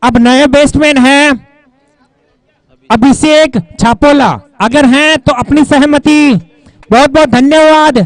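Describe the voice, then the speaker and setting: A very high-pitched man commentates on a live cricket match and makes announcements. He likes short rhyming quips, and his voice is recorded dry and close, without room echo. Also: fairly even intonation, slow.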